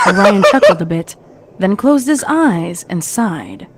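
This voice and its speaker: A woman's voice: medium pitch, wildly swooping pitch, slow pace, heavy background noise. A woman reading scripted narration of a fan-written horror story.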